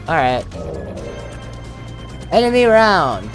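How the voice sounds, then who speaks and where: A man's voice: high-pitched, sing-song, slow, soft background music. A man commentating casually on his own video game playthrough, thinking aloud as he plays.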